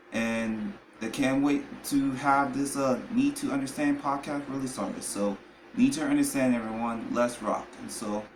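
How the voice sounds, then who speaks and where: Guy from new york rambling on his own podcast, lots of filler, roomy echo, bit of hiss. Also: mid-range voice. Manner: rambling, repeats filler phrases. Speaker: podcast host. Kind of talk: solo podcast monologue. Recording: echoey room, faint background noise.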